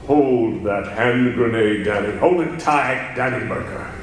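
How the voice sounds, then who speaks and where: A man playing a mysterious male crime-fighter on a live stage, slow and commanding with a menacing laugh, in old-time radio style. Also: medium pitch, close to an everyday voice. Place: large hall, live stage. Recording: echoey hall from far off.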